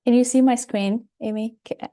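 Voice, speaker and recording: A woman's voice: on the high side, fairly even intonation, normal speed, dark tone. A woman from Cameroon with a Cameroonian accent, giving a chatty, personal webinar presentation over a video call. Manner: chatty, personal, asides to the audience. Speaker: guest presenter, pharmacist.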